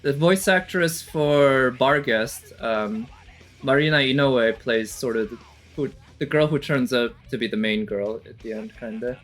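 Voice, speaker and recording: A man's voice: mid-range voice, a little slow, fairly bright tone, faint music behind. Hesitant, analytical man commentating. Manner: hesitant, thinking aloud, analytical. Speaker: reaction streamer. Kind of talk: casual off-the-cuff commentary.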